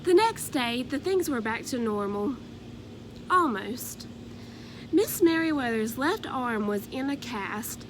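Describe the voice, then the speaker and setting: A woman narrating a children's storybook aloud in an expressive, deliberate way. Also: on the high side, background noise.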